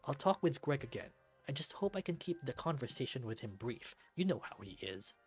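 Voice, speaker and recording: Man playing brusque, sardonic superhero, husky voice. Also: mid-range voice, big pitch swings, fairly quick, faint music behind. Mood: grudging. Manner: brusque, sarcastic. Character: gruff, sardonic, impatient male superhero, the Baron.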